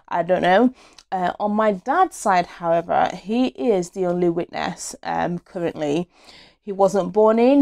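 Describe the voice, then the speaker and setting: A woman's voice: on the low side, a little mellow. Chatty young woman from Nigeria. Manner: chatty and open, with fillers and self-corrections. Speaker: vlogger. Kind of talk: casual personal storytelling to camera. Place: small indoor room against a plain wall. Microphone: small clip-on lapel mic.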